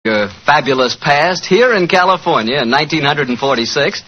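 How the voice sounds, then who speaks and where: A man's voice: on the high side, expressive, fairly quick, sharp tone. An American man working as a 1940s radio announcer, with a polished, emphatic sales delivery. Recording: muffled, hissy 1940s radio recording.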